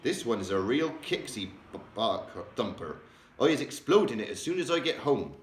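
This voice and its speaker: A man voicing an excitable old male giant who mangles his grammar, invents words and bursts into loud exclamations. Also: mid-range voice, moderate pace, echoey room, pitched above the performer's own voice, natural voice. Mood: explaining.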